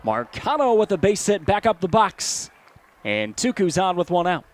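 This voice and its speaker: An American man calling baseball play-by-play as a broadcaster, animated and given to shouting on big plays. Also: sits high, moderate pace. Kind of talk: live sports play-by-play commentary.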